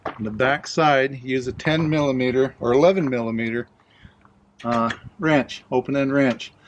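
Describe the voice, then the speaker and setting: A man's voice: mid-range voice, normal speed, noisy background. A man casually narrating a hands-on DIY installation, explaining it plainly step by step.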